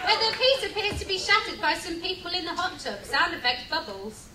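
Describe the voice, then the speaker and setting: A woman gives playful scripted narration for a live comic radio play. Her voice is high and swings animatedly. She sounds some way from the mic, with a loud crowd around her. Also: sing-song, a little fast. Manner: reads out sound cues, playful. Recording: distant, echoey, loud crowd noise.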